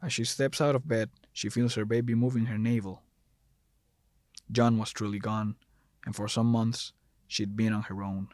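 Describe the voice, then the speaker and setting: A man's voice: on the low side, steady tone, normal speed, darkish tone, no echo. A man delivering scripted, dramatic narration for a horror audio drama, close on the mic.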